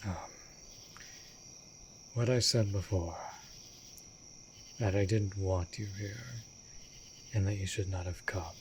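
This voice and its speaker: A man playing an immortal vampire master, a tender and brooding lonely lover, speaking formally and often breathily in a romantic fantasy audio roleplay. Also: deep voice. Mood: grave, confessing. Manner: formal archaic wording, slow and deliberate, often dropping to breathy whisper. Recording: steady background noise of night crickets. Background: night insects and crickets.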